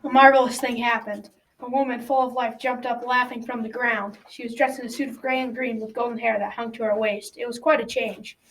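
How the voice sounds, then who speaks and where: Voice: medium pitch, little pitch movement, a little fast, lightly acted. A young teen playing a kindly grandfather who tells a folktale in a school radio drama. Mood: storytelling. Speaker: storyteller narrator. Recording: distant mic, clicks and faint noise.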